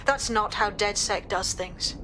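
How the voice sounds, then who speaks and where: A woman's voice: mid-range voice, unhurried, bright, forceful tone, a little hiss or hum, rough recording. A woman playing a stern, skeptical leader of a hacker group in a game. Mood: challenging. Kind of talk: game cutscene dialogue.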